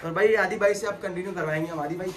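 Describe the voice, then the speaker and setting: A man's voice: high voice, fairly even intonation, rapid-fire. A young man with a Pakistani accent talking casually to camera as a vlogger in an indoor shop. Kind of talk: casual vlog talk to camera. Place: busy barber salon, indoors. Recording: busy salon chatter behind voice.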